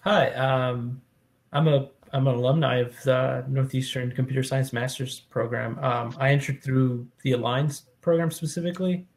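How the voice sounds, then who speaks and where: Man, software engineer on a panel, monotone, gravelly, plain, self-deprecating. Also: medium pitch, normal speed. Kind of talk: panel introduction. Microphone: video call.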